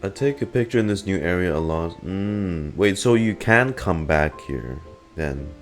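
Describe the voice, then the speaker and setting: Deep voice guy doing chill game stream reactions, faint music behind. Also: lively intonation, a little slow. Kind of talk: casual live game reaction commentary.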